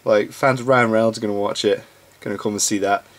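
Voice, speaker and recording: A man's voice: lowish voice, fairly even intonation, fast talker. A man hosting a reaction video, giving casual commentary in a loose, informal way.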